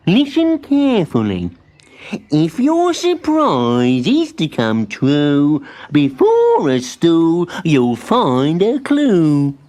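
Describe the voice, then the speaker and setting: A doddery old male mole from a storybook, acted by a woman. The speech is fussy, muttered and drawn out, slow and full of wide swings in pitch. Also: huge pitch swings, warm tone, full character voice. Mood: remembering. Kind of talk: storybook character voice.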